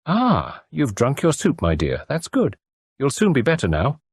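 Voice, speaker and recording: A man's voice: mid-range voice, huge pitch swings, measured pace, husky, no echo, clean studio sound, voice pushed up, heavily acted. A man voicing a chatty kindly farm wife. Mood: cheerful. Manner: homely rural speech, chatty. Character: kindly good-tempered farm woman, middle-aged.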